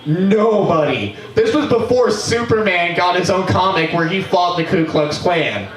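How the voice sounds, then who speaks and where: A young man on a small bar stage tears through a comic history rant with a high, swooping voice and profane outbursts. He stands at a mic stand, picked up by a camera across a roomy venue. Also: a little slow, far from the mic. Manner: profane, animated, breaks into shouts. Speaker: open mic performer. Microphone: stand mic, distant camera.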